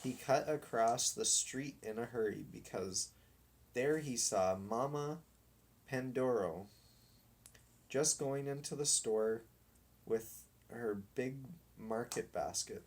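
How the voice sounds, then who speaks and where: A man reading a children's story aloud in a deliberate, dramatic manner. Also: medium pitch. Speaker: storybook reader.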